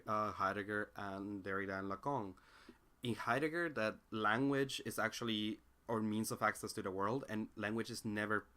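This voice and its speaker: A man's voice: fairly low pitch, sharp tone. A young man with a Spanish accent, a native Spanish speaker, talking through his ideas in a casual reflective monologue, searching for words with frequent fillers and restarts. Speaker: independent philosophy commentator.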